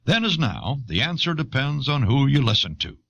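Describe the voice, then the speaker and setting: A man reading scripted documentary narration in a measured, explanatory manner, on an old cassette recording. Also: medium pitch, big pitch swings, gravelly, fairly bright tone, dry recording, lo-fi sound. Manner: measured, expository.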